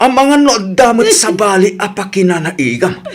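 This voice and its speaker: A man's voice: sits high, big pitch swings, moderate pace. Man acting a volatile drunken husband, wildly swinging voice. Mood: menacing rage. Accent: Filipino, Ilocano. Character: violent drunken jealous husband, angry then contrite. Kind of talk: Ilocano audio drama acting.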